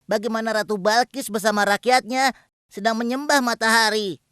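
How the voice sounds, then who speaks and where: A woman's voice: fairly high pitch, fairly even intonation, normal speed, clear, resonant tone, dry recording, studio quality, close to an everyday voice. A woman voicing an earnest, humble young hoopoe bird messenger in a children's cartoon, with an exclamatory delivery. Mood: humble, deferential. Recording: loud music behind the voice.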